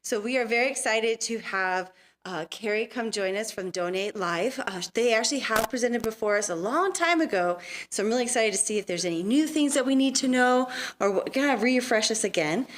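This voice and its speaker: A woman's voice: on the high side, a little fast, slight background noise. A woman hosting a neighborhood association meeting in a room, welcoming the members and introducing the guest speaker. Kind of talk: opening a community meeting.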